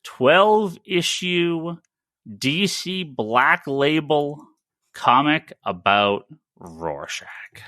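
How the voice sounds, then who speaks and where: Bright-voiced, animated man hosting a podcast. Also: on the high side, extremely slow, no room sound, studio quality. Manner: emphatic, opinionated, repeats and restarts phrases. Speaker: podcast host, comic store owner. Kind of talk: casual podcast chat about comics.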